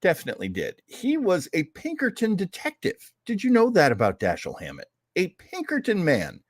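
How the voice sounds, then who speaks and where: A man hosting an old-time radio show casually, in a rambling, hesitant, animated way. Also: high voice, normal speed, dry recording, studio quality. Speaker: show host.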